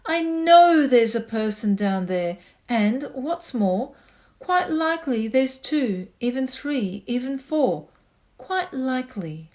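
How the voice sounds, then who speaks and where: A woman's voice: high voice, animated, takes it slowly, darkish tone. A woman voicing a kind, protective male elephant with an earnest, pleading manner, for a storybook.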